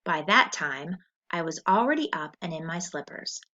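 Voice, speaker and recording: A woman teacher reading a story aloud in an expressive, deliberate, instructional way, as for a lesson with questions. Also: deep voice. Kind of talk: scripted story read-aloud with comprehension questions. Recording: dull lo-fi recording.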